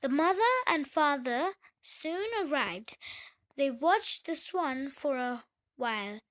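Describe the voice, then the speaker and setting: A woman reads a children's tale aloud. Her voice sits high, and she takes the narration slowly, as in a read-along for young listeners. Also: expressive, a bit bright, low-quality recording. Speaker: children's story reader.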